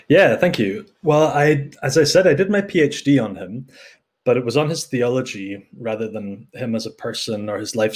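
A man's voice: medium pitch, breathy edge. A middle-aged Scottish man answering interview questions in a thoughtful, explanatory way, with frequent ums and restarts. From Scotland. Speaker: guest theologian.

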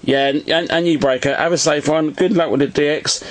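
A man's voice: fairly high pitch, fairly even intonation, a little fast. A man making casual radio contact from home, using jargon and often repeating "Roger". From England. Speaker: amateur CB radio operator filming his contacts. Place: indoors at a home radio set. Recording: faintly noisy phone video beside radio.

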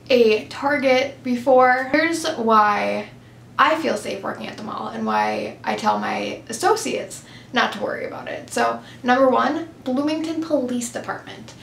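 A woman's voice: fairly high pitch, vocal fry, a bit bright, reverberant, slight background noise. A young woman in her twenties vlogging casually to camera, upbeat and chatty with lots of "like" and "um" fillers. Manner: chatty, upbeat, many "like" and "um" fillers, laughs often. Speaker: vlogger, mall retail worker. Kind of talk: casual vlog talking to camera. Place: small plain room, indoors, grey backdrop.

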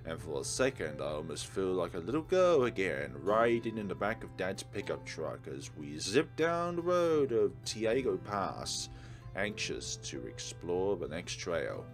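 A man's voice: sits low, big pitch swings, unhurried. A man hosting a let's play, reading game text aloud and commenting on it. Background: game music.

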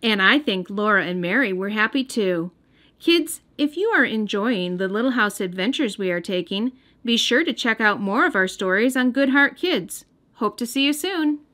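An American woman reading a storybook aloud for young children in a gentle, careful storytelling manner. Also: medium pitch, expressive, normal speed. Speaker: children's storybook reader and host. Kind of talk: scripted read-aloud narration. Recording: music under the voice.

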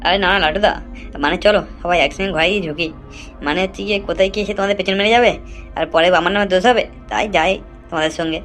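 Woman playing a quick, stammering cowardly young sidekick. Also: on the low side, fairly bright tone, faint music behind, close to an everyday voice. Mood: uneasy. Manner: stammering, nervous, wheedling. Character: cowardly, stammering young man, whiny excuse-making sidekick. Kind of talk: comic cartoon dub.

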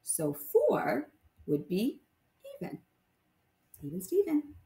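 A woman teacher with a mid-pitched voice gives a read-aloud math lesson for small children. Her delivery is lively and instructional, swinging up and down on key words. The room adds noticeable echo. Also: slow pace, vocal fry.

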